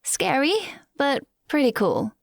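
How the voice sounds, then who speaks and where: A woman's voice: high-pitched, big pitch swings, slow pace, creaky, no echo, clean studio sound, exaggerated voice. A woman playing a friendly, upbeat young dancer in an audio drama. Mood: friendly, impressed.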